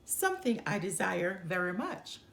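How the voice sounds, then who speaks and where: A woman's voice: mid-range voice, sing-song, moderate pace, vocal fry, a bit bright, roomy sound, a little hiss or hum, pitched below the performer's own voice. A woman voicing a polite, hopeful male frog, an enchanted prince, in a storybook reading. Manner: courteous, formal. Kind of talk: storybook character voice.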